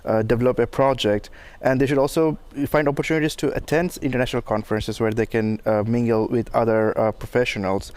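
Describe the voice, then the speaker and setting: Clear-voiced man giving earnest advice. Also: mid-range voice, steady tone, fast, few pauses, darkish tone. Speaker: alumnus panel guest. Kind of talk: giving career advice in a panel talk. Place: studio for a live stream.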